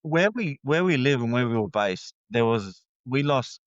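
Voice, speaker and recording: A man's voice: medium pitch, lively intonation, moderate pace, smooth, no echo, muffled recording. A man with an Australian accent, a guest talking candidly in a casual interview, rambling with fillers and restarts.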